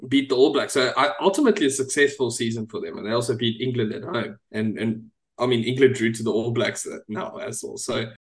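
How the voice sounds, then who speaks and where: A man's voice: medium pitch, a little fast, few pauses. Chatty male sports podcast co-host. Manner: chatty, full of fillers, checks in with "right?". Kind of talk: casual sports chat.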